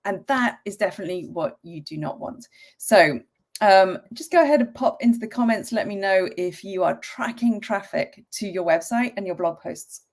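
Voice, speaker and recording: A woman hosting a casual live-stream tutorial, croaky from a cold and talking in a chatty way with frequent ums and the odd cough. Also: medium pitch, animated, normal speed.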